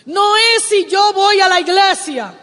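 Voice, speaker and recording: Slow, emphatic woman preaching. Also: extremely high pitch. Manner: emphatic, repeats phrases, rises to shouts. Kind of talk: sermon. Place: church sanctuary. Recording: long hall reverb.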